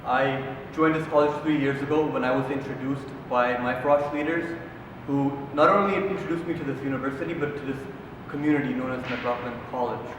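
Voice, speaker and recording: A man's voice: mid-range voice, fairly even intonation, brisk. A young man giving an earnest, formal campaign speech. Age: young adult. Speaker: student council candidate. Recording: echoey hall from far off, noisy.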